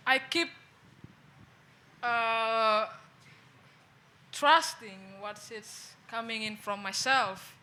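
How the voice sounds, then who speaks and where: A woman's voice: on the high side, pushed and bright, a little hiss or hum. A woman from Bali with an Indonesian accent, a guest on a discussion panel, speaking hesitantly and searching for words in front of an audience. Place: event venue with an audience.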